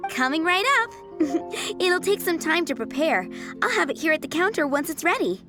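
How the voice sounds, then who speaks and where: Polite, gently playful female game shopkeeper, high animated voice, helpful and courteous. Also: moderate pace, music in the background. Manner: courteous, service-minded. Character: polite, obliging young restaurant server, gently playful. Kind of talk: video game voice acting.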